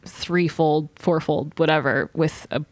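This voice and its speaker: A woman with a low voice talks as a podcast panelist. Her delivery is loose, informal storytelling, full of "like", recorded close with a dry sound. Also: measured pace, no echo. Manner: informal, frequent use of "like". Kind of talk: casual conversational storytelling.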